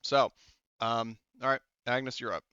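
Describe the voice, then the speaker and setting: A man with a clean, smooth voice runs a tabletop game in casual talk. His pitch is average. The sound is dry and a little dull, like lo-fi voice chat. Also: steady tone, unhurried, pushed and bright, no room sound. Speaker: game master. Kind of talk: casual tabletop RPG refereeing. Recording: slightly dull, lo-fi voice-chat audio.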